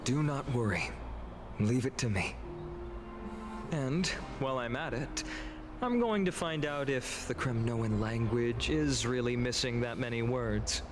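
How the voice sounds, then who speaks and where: A man's voice: medium pitch, lively intonation, a little slow, lightly acted. A man voicing a confident, good-natured young hero in a video game, with an assured, wry manner. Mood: reassuring, teasing. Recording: music behind the voice.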